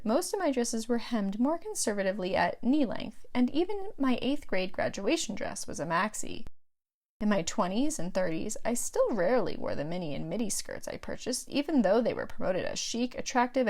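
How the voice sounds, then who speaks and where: A middle-aged woman with a slightly high voice reads scripted audiobook narration as the author. Her delivery is measured, and each phrase is set out clearly. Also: a little mellow.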